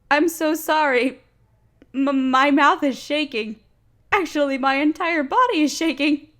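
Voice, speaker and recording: High-voiced, nervous, smitten young woman acted by a woman. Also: fairly bright tone. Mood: flustered, shaken. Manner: breathy gasps, sighs, hesitant stammering. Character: nervous, smitten, nerdy young woman confessing love to friend. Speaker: audio roleplay performer. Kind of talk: intimate romantic roleplay acting.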